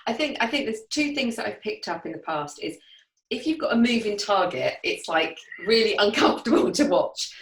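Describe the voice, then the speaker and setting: A woman's voice: mid-range voice, big pitch swings, moderate pace. Enthusiastic woman in an echoey room. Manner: enthusiastic, laughs often. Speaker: guest, networking event organizer. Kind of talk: casual video-call chat. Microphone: video call. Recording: roomy echo, distant from mic.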